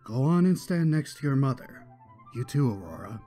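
A man's voice: medium pitch, big pitch swings, normal speed, mellow. A man voicing a stately cartoon pony king in a grand, formal, proclaiming manner, with music behind. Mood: gently prompting. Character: stately male pony king and father addressing his subjects. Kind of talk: animated cartoon ceremonial speech.

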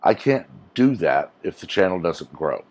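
A deep, low-pitched man in his forties talks straight to camera in a casual, rambling rant. He sounds earnest and emphatic, recorded close on a lapel mic in a small indoor room. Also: sing-song, measured pace, darkish tone. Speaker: YouTuber.

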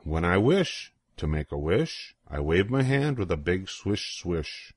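A man's voice: low voice, sing-song, measured pace, no echo, clean studio sound, heavily acted. An older man voicing a proud, whimsical wishing creature from a storybook, declaiming in sing-song rhyme.

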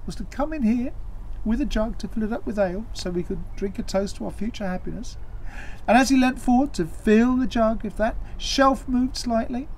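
A man voicing a woeful young farmer's daughter, wailing and halting, in a storytelling performance. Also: very high voice, a little mellow, voice pushed up, poor audio.

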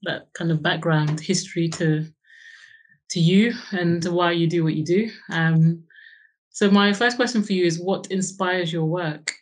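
A woman's voice: on the low side, fairly even intonation, measured pace. A woman curator hosting an interview, friendly and a little hesitant.

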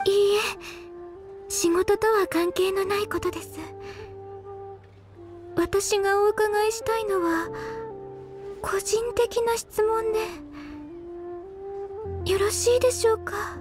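A woman voicing a polite, earnest and anxious young female secretary in a video game, speaking softly, formally and hesitantly. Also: very high voice, fairly even intonation, slow, long pauses, over music. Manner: soft, formal, hesitant and very courteous. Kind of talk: Japanese video game voice acting.

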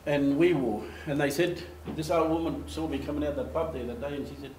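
A man's voice: a little high, brisk, a little hiss or hum. Older man telling stories in a hall. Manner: animated, gestures, acts out quoted voices. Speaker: speaker giving a slide talk. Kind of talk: storytelling presentation. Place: meeting room with projector screen, at a lectern. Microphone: far camera. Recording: echoey room, faint ambience.

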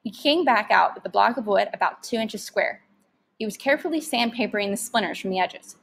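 A woman's voice: mid-range voice, expressive, fairly quick, vocal fry. A woman reading a story aloud expressively, like a teacher narrating to students.

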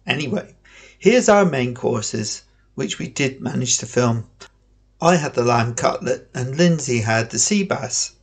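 A man's voice: mid-range voice, expressive, unhurried, creaky, breathy edge. An older British man narrating his travel vlog in a plain, chatty way. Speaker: vlog host. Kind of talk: scripted narration.

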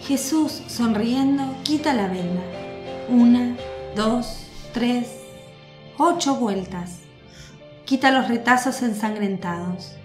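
A woman giving measured, scripted narration for a radio drama. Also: fairly high pitch. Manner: measured, descriptive. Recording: echoey with music bed.

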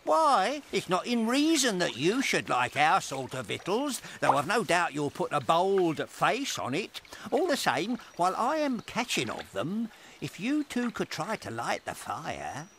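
An actor playing a gloomy, lanky marsh creature, a solemn pessimist grumbling in a rustic manner for an audio drama. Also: male voice, high voice, huge pitch swings, slow, a bit bright, a little hiss or hum, heavily acted. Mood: gloomy, resigned.

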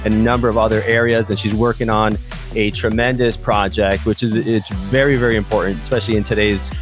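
A man's voice: lowish voice, level tone, brisk, over music. A man, hosting a conversational podcast interview into a close studio microphone. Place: small podcast studio at a university. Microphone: close studio microphone on boom arm.

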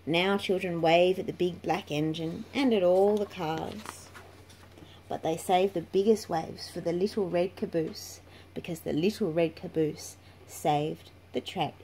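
A woman's voice: on the low side, fairly even intonation, unhurried, mellow. A woman reading a children's picture book aloud in a storytelling style, in a noisy home recording. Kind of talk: slow read-aloud storytelling.

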